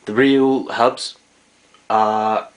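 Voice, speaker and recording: A man's voice: lowish voice, steady tone, very slow. A man giving an unscripted, matter-of-fact hands-on walkthrough of equipment, explaining it as a collector would. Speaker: vintage tape recorder collector giving a demonstration. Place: indoors in a domestic kitchen. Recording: noisy amateur camera sound.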